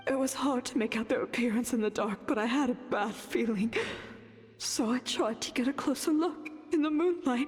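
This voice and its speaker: Jittery young village woman for anime game; very high, animated voice, stumbles over words in fright, music underneath. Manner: stammering, breathy hesitations. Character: frightened young townswoman, timid and easily shocked. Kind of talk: anime video game voice acting.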